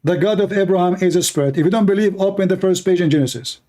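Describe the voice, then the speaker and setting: A man preaching to his viewers with a dark, mellow voice pitched a little high. He declaims emphatically and repeats his points for force. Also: fast. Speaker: online religious debater.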